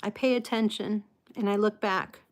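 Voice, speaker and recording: A woman from the United States hosting a casual live chat, with slightly impeded articulation and a halting, unhurried manner. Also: mid-range voice, fairly even intonation. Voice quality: slightly impeded articulation from a false tooth and past stroke. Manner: halting, unhurried, greeting viewers by name. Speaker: live stream host.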